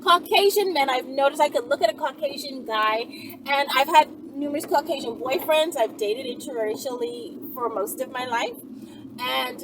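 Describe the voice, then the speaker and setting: A woman talking casually and off the cuff to her viewers, emphatic and often checking that they agree. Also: high voice. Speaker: video channel host answering viewer questions. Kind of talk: casual unscripted talk to viewers. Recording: distant mic, clear background noise.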